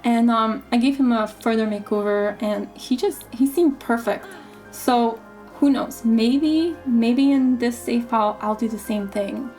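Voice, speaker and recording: A woman who is losing her voice, talking casually about her game plans in a halting way, repeating words and filling pauses with um. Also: a little high, a little mellow, faint music behind, poor audio. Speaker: gaming YouTuber. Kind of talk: casual gameplay commentary.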